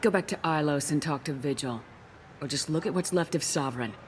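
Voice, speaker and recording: A woman plays a steadfast military commander from a science fiction game. Her voice sits low and she talks firmly and directly, with faint noise behind her. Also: brisk, natural voice. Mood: insistent. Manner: firm, direct. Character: steadfast female human military commander, composed and assertive. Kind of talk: video game voice acting.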